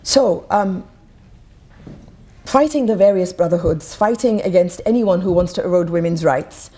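A woman's voice: lowish voice, measured pace, warm tone. A middle-aged woman from Egypt giving an emphatic, passionate talk at a podium in an auditorium. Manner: passionate, emphatic, repeats words when restarting. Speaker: guest speaker. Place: auditorium stage. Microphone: podium mic.

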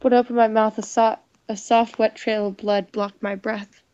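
A woman's voice: a little high, little pitch movement, normal speed, warm tone, clean tone, no echo, lo-fi sound. A woman playing and reading a visual novel aloud, mixing dramatic narration with casual commentary.

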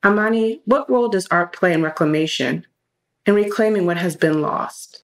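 A woman's voice: on the low side, normal speed. A woman hosting a discussion series with scripted introductions and questions. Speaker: host.

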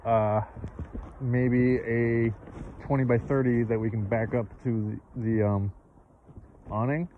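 A man's voice: mid-range voice, fairly even intonation, slow pace, pauses often. A man who runs a tent rental business, talking frankly with a client during an outdoor site visit. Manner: blunt, practical, explains business frankly. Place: outdoors at a snowy rural site. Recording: tinny phone-like sound, faint outdoor noise.

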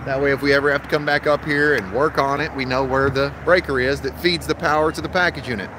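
A man's voice: medium pitch, steady tone, fast talker. A man in his thirties, an HVAC technician, talking casually to his phone camera on a commercial rooftop and explaining the job step by step. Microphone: phone held at arm's length. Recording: outdoor phone audio with traffic noise.

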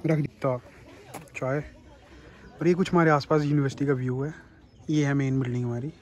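A man's voice: medium pitch, steady tone, moderate pace, a little mellow. A young man in his twenties, a student vlogging casually around his university campus on a phone held at arm's length, playful and teasing with friends. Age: 20s.

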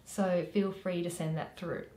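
A woman's voice: lowish voice, level tone, unhurried, warm tone, roomy sound, slight background noise, rough recording. A woman in her thirties presenting a reading in a friendly way, recorded indoors at home. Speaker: host and reader. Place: small room at home, indoors.

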